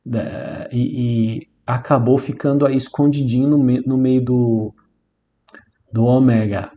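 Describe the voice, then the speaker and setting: A man's voice: medium pitch, steady tone, slow, mellow, dull audio. A man with a Brazilian accent giving an informal lesson as a teacher, repeating and stressing key points in a didactic way. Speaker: Biblical Greek teacher. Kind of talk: informal instructional lecture.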